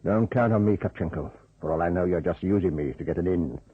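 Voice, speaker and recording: An actor in an old radio drama playing a blunt, sardonic, indignant charter pilot. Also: male voice, deep voice, fast, breathy edge, dry recording. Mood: hard, distrustful. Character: gruff, plain-spoken, indignant male charter pilot and major. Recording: muffled, narrow-band old radio recording.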